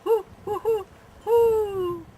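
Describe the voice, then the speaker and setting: A woman voicing a great horned owl's call in a storybook, with repeated drawn-out hoots. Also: very high-pitched, steady tone, pitched above the performer's own voice, full character voice. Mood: loud calling. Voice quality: hooting, drawn-out calls. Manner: repeated loud, evenly sounded hoots. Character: great horned owl call, loud hooting in the night woods. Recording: noticeable background noise.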